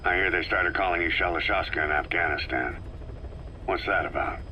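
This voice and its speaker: A guarded merc boss from a video game, super low voice, short clipped lines, bit of noise behind. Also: male voice, a little fast, bright, forceful tone. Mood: curious, dry. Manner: terse, clipped questions. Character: terse, grave male mercenary commander, probing and guarded. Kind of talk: scripted video game voice acting.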